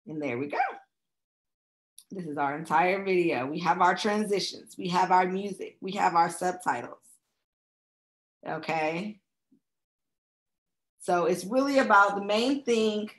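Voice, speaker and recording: Chatty woman with a slightly raspy voice. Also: fairly low pitch, measured pace, long pauses. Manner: chatty, casual, keeps checking in with "okay?". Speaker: travel agent teaching video making. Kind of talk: screen-recorded tutorial walkthrough.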